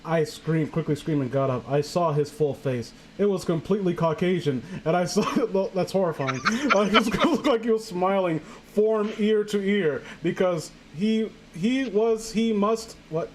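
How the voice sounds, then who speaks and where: A man's voice: high-pitched, normal speed, hardly any pauses. A man hosting a casual, comedic read-aloud of a story, stumbling over his words and going off on tangents. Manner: stumbles over words and digresses while reading. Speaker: host reading a horror story aloud with commentary. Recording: clear background noise.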